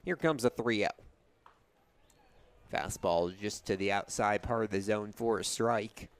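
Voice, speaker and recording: A man's voice: fairly low pitch, a little slow. A young man doing live radio play-by-play commentary of a baseball game as the announcer. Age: young adult.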